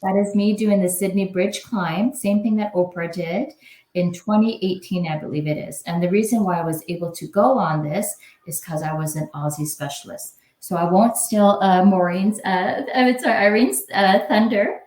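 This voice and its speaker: A woman's voice: medium pitch, moderate pace, a little mellow, roomy sound. A woman hosting a webinar, introducing the next speaker in a chatty, apologetic way, correcting herself as she goes. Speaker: webinar host.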